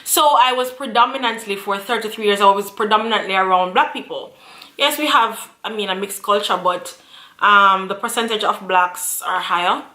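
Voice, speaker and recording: A woman's voice: mid-range voice, moderate pace, bright, forceful tone, reverberant. A woman in her thirties hosting a casual vlog chat, chatty and repeating her phrases. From Jamaica. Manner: chatty, repeats phrases, frequent laughs and fillers. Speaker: YouTube channel host.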